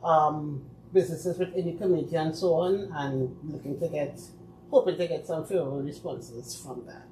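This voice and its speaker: A woman with a Trinidadian accent, speaking for a community group and explaining things in a measured way. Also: low-pitched, steady tone, warm tone. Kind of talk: casual chat. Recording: echoey room, faint background noise.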